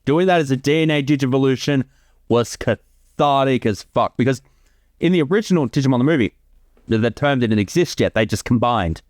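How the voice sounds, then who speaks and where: A man's voice: medium pitch, animated, fairly quick, darkish tone, no room sound, clean studio sound. A man giving casual, explanatory creator commentary, sometimes sweary, with soundtrack music behind him. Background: film soundtrack music.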